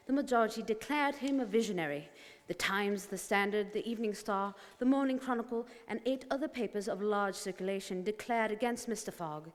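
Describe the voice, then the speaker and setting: A woman's voice: a little high, close to an everyday voice. A woman narrator delivering scripted narration in a stage radio drama. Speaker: narrator and supporting actor. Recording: reverberant studio hall.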